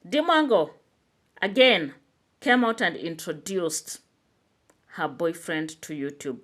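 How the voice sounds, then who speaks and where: Woman vlogger, lower register, casual talk-to-camera commentary with lots of fillers. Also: slow. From Kenya. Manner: chatty, animated, with frequent "you know" fillers. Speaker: YouTube commentator.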